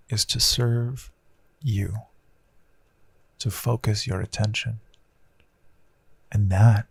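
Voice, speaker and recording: A man voicing a gentle, reassuring hypnotist in a hushed, breathy guided meditation that often slips toward a whisper, with long deliberate pauses. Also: fairly low pitch, dry recording, exaggerated voice. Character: gentle male hypnotist Count, calm and reassuring. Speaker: hypnotist, audio host.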